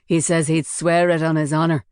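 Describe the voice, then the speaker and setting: A woman's voice: low voice, flat delivery, moderate pace, a little mellow, smooth, no echo, studio quality, close to an everyday voice. A woman voicing a cocky, menacing male gang leader who mocks his captive. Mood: mocking. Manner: mocking, sneering.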